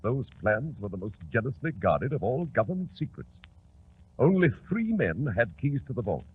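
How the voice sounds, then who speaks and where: An actor playing a stately, self-important British government official in formal 1940s radio drama style. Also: male voice, mid-range voice, sing-song, moderate pace, husky. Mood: solemn, explanatory. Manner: formal, weighty, pompous. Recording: scratchy 1940s radio broadcast, narrow band.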